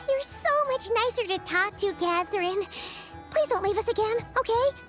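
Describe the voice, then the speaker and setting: A woman voicing a tiny, childlike, cheeky and excitable female fairy companion in a video game, in a squeaky voice. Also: measured pace. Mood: relieved. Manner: squeaky, speaks of herself in third person. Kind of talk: cartoonish scripted game dialogue. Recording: music behind the voice.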